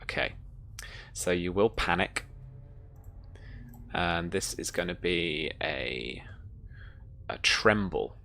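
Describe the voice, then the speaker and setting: Low, creaky-voiced game master. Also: slow, pauses often, pushed and bright, slight background noise. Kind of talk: running a tabletop roleplaying game.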